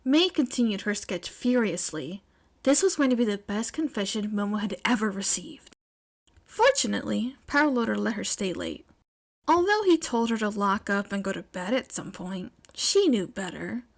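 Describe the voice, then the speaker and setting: A woman reading fan fiction aloud as expressive, scripted story narration. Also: medium pitch. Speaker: fan fiction podfic reader.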